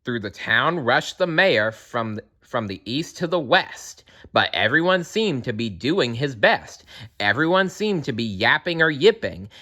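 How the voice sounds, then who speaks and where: A man's voice: medium pitch, sing-song, measured pace, bright, forceful tone. A man reading a rhyming picture book aloud with a playful, rhythmic delivery. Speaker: storybook reader.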